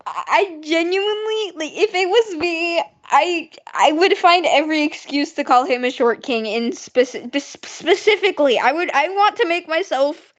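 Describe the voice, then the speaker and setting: A young woman with a very high voice talks casually as a guest on a call. She teases and often repeats phrases for emphasis. The audio is slightly muffled and lo-fi. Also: expressive, moderate pace, few pauses. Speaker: guest co-commentator. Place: indoors on a Discord call.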